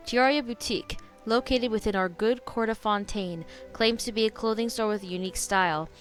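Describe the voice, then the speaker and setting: A woman streamer reacting casually to a video game, informal and opinionated, reading text aloud with some stumbles. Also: medium pitch.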